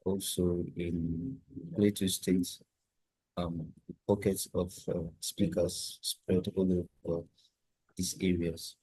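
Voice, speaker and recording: A Nigerian man with a Nigerian accent hosting a workshop, introducing himself calmly over a video call. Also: sits low, breathy edge, no room sound, rough recording. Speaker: workshop host.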